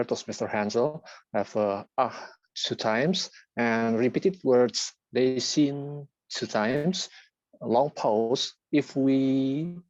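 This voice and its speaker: Brief: man, unhurried halting list reading, dry close sound, dull lo-fi call line. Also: mid-range voice, a little mellow, no echo. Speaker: filler-word counter at a speaking club. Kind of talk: reading out a tally report. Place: online video meeting.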